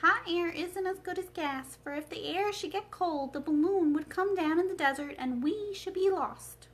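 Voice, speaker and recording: A woman's voice: extremely high pitch, normal speed, pitched above the performer's own voice. A woman voicing an earnest young girl in a storybook, speaking in a direct, questioning and emphatic way. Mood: doubtful. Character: Dorothy, an earnest, plain-spoken young girl.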